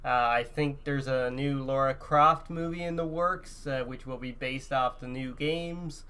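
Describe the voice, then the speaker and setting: A man's voice: mid-range voice, unhurried, bright, forceful tone, clear, resonant tone. A man co-hosting a podcast, chatting casually and informally about games and films. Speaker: podcast co-host. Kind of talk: casual chat about video games and movies.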